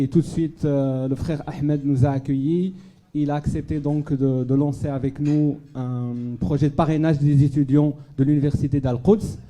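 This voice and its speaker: A man's voice: fairly high pitch, warm tone. A man raised in Morocco giving a charity speech to a dinner audience, hesitant, full of fillers and restarts. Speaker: charity speaker at a fundraising gala. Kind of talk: public speech to a live audience. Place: banquet hall at a gala dinner.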